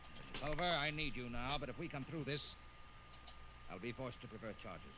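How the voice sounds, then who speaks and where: A man's voice: medium pitch, animated, a little fast, lightly acted. Man playing naval commander, clipped, authoritative, stern, vintage radio acting, heavy recording noise. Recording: noisy old radio drama recording.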